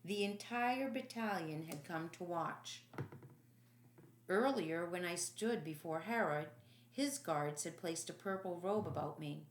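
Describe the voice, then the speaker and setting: A woman reading dramatic first-person audiobook narration in a solemn, deliberate, emphatic way. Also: on the low side, lively intonation, a little hiss or hum. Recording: echoey room.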